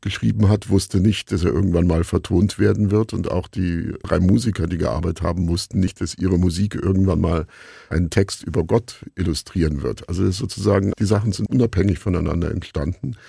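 A man's voice: sits low, fairly even intonation, a little fast, vocal fry, mellow, husky, no room sound, clean studio sound. A man being interviewed as a radio play maker, thinking aloud in a reflective, discursive way.

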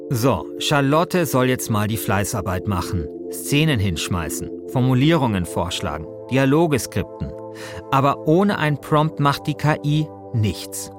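A man's voice: mid-range voice, expressive, measured pace, over music. A man reading colloquial scripted narration as the narrator of a podcast.

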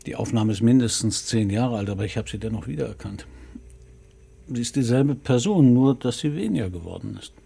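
An actor in a radio play playing a calm, courteous middle-aged police commissioner who speaks in a measured, probing way. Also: male voice, medium pitch. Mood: reflective. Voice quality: gravelly, husky.

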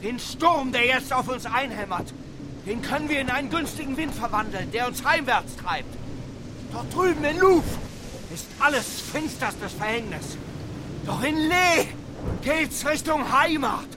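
An actor playing an alarmed ship's mate in a German radio drama, urgently exhorting and pleading for reason. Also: male voice, very high voice, expressive, a little slow. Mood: urgent, exasperated. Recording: noisy storm and sea effects behind.

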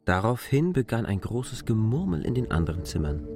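A man reads literary prose as an expressive storyteller. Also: fairly low pitch, normal speed, husky, faint music behind. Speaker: narrator of a literary classic. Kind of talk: scripted literary narration.

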